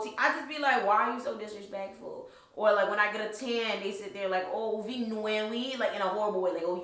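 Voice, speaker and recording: A young adult woman chatting casually, animated, blunt and profane. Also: mid-range voice. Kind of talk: casual chat. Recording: echoey room.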